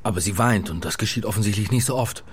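A man's voice: fairly low pitch, quick pace, a little hiss or hum, close to an everyday voice. A man playing a worried, flustered father in a radio play, hesitant and stammering as he talks. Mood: worried, earnest. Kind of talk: naturalistic radio play acting.

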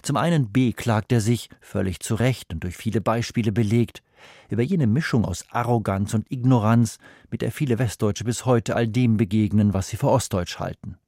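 A man reads his scripted book review for radio in a steady, analytical way. His voice is low and dark. The studio sound is dry and close. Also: moderate pace, no echo. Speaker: book critic.

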